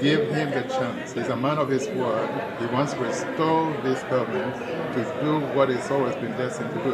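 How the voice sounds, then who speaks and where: A man with a Kenyan accent, interviewed at a town hall, speaking earnestly and persuasively with frequent restarts. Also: mid-range voice. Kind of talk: street interview, political commentary. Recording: loud crowd behind.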